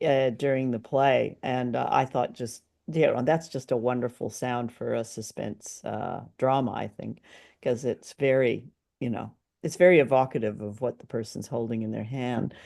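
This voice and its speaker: A male podcast co-host chatting casually in review. His voice is medium in pitch with a clear creaky, gravelly edge. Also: fairly even intonation, moderate pace, darkish tone.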